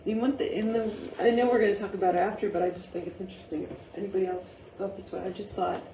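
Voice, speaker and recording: A woman's voice: mid-range voice, steady tone, rapid-fire, dark tone. A woman giving informal feedback in a group discussion, reflective and emphatic as she muses aloud in questions. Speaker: audience member giving play feedback, teacher. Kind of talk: informal post-reading discussion. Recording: muffled, noisy, echoey room from far off.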